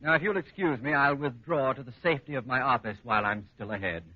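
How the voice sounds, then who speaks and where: An actor playing a stuffy middle-aged school principal with formal, dry sarcasm in a 1940s radio comedy. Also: male voice, mid-range voice, fast talker, lightly acted. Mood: wary, dry. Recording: noisy muffled old radio recording.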